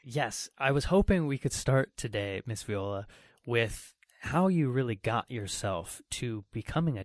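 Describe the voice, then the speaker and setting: A man's voice: lowish voice, no room sound, studio quality. A man hosting a show, speaking in a chatty, effusive, casual interview style. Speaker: show host.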